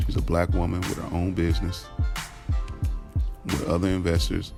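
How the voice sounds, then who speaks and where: A man voicing an aloof, blunt, sensible male acquaintance in audio drama dialogue, measured and matter-of-fact. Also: low voice, completely flat delivery, vocal fry, music in the background. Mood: firm, advising.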